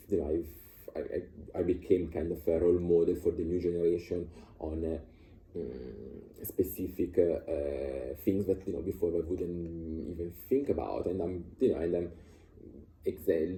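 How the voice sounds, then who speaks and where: Guy, deep mellow voice, lots of ums and you knows, roomy sound. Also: steady tone, slight background noise. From Italy. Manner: halting, many fillers and restarts. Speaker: vlogger sharing personal views. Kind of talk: casual unscripted monologue. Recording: echoey room.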